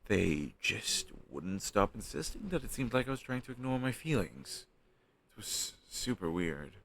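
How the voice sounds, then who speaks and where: A man's voice: lowish voice, big pitch swings, vocal fry, fairly bright tone, exaggerated voice. A man playing a flustered young man shyly hiding a crush on his best friend, speaking hesitantly and sheepishly in an intimate audio roleplay. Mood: flustered, confessional. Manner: hesitant, sheepish, halting conversational delivery. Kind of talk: intimate one-sided conversational audio roleplay.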